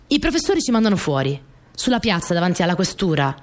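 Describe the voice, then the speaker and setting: A woman's voice: lowish voice, very animated, fast talker, darkish tone. An actress playing a young peasant girl in a radio monologue, telling her story in a naive, colloquial way, repeating phrases for emphasis. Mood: earnest, recollecting. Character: young Italian peasant girl, earnest, plainspoken, stubborn storyteller. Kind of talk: dramatic monologue in a radio play. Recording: music behind the voice.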